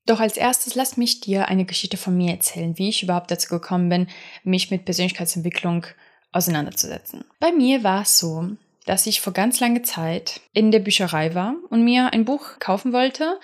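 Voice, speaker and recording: A woman's voice: medium pitch, moderate pace. A young adult woman, not a native German speaker, talking in a casual, rambling podcast monologue full of fillers and restarts.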